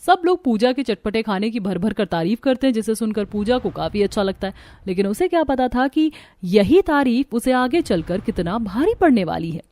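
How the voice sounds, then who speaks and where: A woman narrates a scripted cartoon story as its storyteller. Her voice sits fairly high in pitch. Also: big pitch swings, moderate pace, darkish tone, no room sound.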